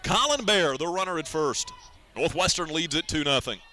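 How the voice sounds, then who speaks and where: A man calling baseball play-by-play on the radio in a live sports commentary style, with measured, deliberate phrasing. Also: fairly high pitch, animated, sharp tone, soft background music.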